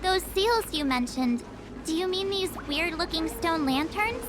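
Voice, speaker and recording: Very high, childlike little companion. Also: female voice, measured pace. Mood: curious, chatty. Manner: cute, expressive, informal. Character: small, childlike, chatty and whiny companion. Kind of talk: video game voice acting. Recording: music under the voice.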